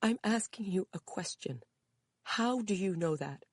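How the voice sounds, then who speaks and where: A woman's voice: medium pitch, animated, measured pace, darkish tone, slightly rough, dry recording. A woman reading aloud, voicing a distraught mother who sobs and blames herself. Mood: demanding. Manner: sobbing, anguished. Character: distraught mother, sobbing, self-blaming.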